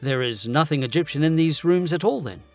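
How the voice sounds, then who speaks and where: A man playing a curious, courteous young gentleman in a gothic audio drama. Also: a little high, lively intonation, brisk, faint music behind. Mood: intrigued.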